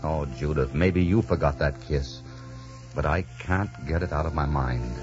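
An actor playing a bold, romantic adventurer captain in a theatrical 1940s radio drama style. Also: male voice, very deep voice, normal speed. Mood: ardent, romantic. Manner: theatrical, ardent, declamatory. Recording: dull 1940s radio recording, music behind.

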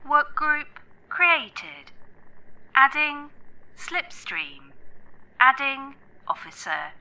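A woman's voice: high voice, sing-song, very slow, pushed and bright, full character voice, rough recording. A woman playing an obedient robot assistant in an audio drama, making stilted machine-like announcements. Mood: obedient, neutral.

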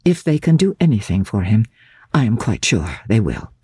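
A woman giving a formal, expressive and measured dramatic narration of a diary from a classic novel. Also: a bass voice, husky, no room sound. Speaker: audiobook narrator.

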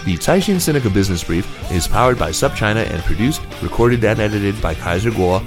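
Low-pitched man reading business news. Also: expressive, brisk, music in the background. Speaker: podcast host and news reader. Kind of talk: scripted news narration.

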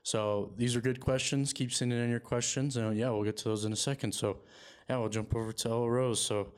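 A man's voice: medium pitch, fairly quick, clear, resonant tone. A man hosting a casual sports podcast, talking steadily with lots of "um" and "kind of". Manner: even, steady delivery with many fillers. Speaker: podcast host.

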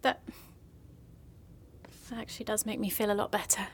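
A woman's voice: medium pitch, level tone, normal speed, husky. An actress playing an isolated, hesitant and naive woman who believes herself cursed, in an audio drama. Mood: unsure, subdued.

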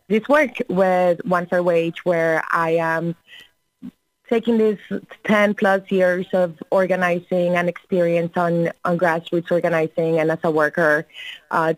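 A woman's voice: lowish voice, no room sound, rough recording. Earnest Colombian-born woman organizer. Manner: earnest, steady, with fillers. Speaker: guest, labor organizer. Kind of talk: interview answers.

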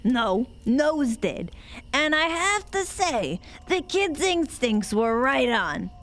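A woman playing a wry, enigmatic moonshine brewer and storyteller in an audio drama, speaking deliberately. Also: sits high, big pitch swings, a bit bright, exaggerated voice. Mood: wry, satisfied.

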